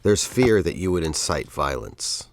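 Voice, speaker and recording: An actor playing a stern, condescending university dean with a formal, interrogating manner in an audio drama. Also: male voice, a bass voice, animated, unhurried, slight background noise. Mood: cold, suspicious.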